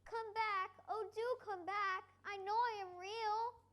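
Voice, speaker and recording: A woman's voice: extremely high pitch, steady tone, measured pace, fairly bright tone. A woman playing a small, earnest, pleading velveteen toy rabbit in a reader's theater storybook performance.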